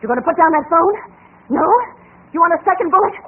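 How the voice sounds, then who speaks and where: An actress playing a distraught, desperate wife in an old radio drama, melodramatic and pleading, with broken delivery. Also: female voice, extremely high pitch, animated, quick pace, phone-quality sound. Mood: threatening, frantic. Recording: thin, noisy old radio recording.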